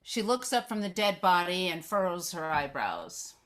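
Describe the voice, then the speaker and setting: A woman's voice: fairly low pitch, big pitch swings, normal speed, fairly bright tone. Woman reading screenplay stage directions aloud. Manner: descriptive, reading aloud with stumbles. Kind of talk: scripted narration, live screenplay read.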